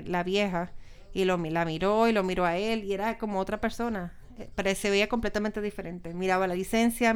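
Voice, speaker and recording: A woman's voice: mid-range voice, clear, resonant tone. A woman preaching a sermon with anecdotes, repeating key phrases for emphasis. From Pittsburgh, United States.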